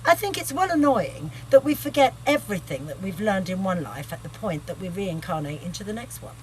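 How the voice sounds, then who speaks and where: A woman's voice: medium pitch, big pitch swings, brisk, a little mellow, lightly acted, rough recording. A woman playing a brash, irritable narrator in a comedic book reading, sardonic, bossy and blunt. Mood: musing. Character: brash, irritable sweary woman musing on past lives.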